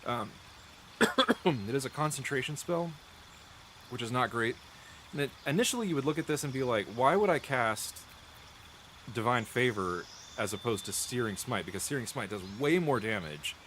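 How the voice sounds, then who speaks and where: A man's voice: medium pitch, expressive, moderate pace, a bit bright, a little hiss or hum. Coughing man with a dry throat. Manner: chatty, rambling, restarts and self-corrections, thinks aloud. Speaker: gameplay streamer. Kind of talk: explaining game mechanics while playing. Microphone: close desk mic.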